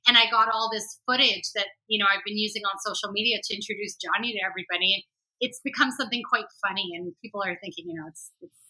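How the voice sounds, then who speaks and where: Bright-voiced chatty woman, laughing easily. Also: medium pitch, steady tone, fast talker, rough recording. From Canada. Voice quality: soft voice with slight hoarseness. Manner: chatty, laughs often, sings snippets. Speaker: country-rock singer, interview guest. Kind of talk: casual interview chat.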